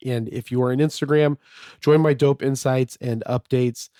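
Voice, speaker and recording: A man hosting his own podcast, talking casually and a bit slightly raspy from a fever, with lots of fillers and restarts. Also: mid-range voice, fairly even intonation, normal speed, mellow, no echo, studio quality. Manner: rambling, many fillers and restarts. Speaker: podcast host. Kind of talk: casual solo podcast monologue.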